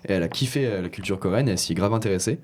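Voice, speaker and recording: A young man leads a relaxed table conversation, speaking fast with a very low voice. He explains his points at length, tossing in fillers as he goes. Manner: quick, explanatory talk with fillers. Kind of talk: casual chat.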